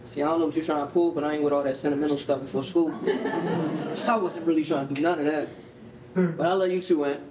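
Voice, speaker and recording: A man plays an easygoing young American uncle in a staged reading, teasing and slangy with a soft heart. His voice sits a bit high, and the hall sounds roomy and distant. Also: steady tone, fast, close to an everyday voice. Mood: fond. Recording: roomy hall, distant mic, faint noise.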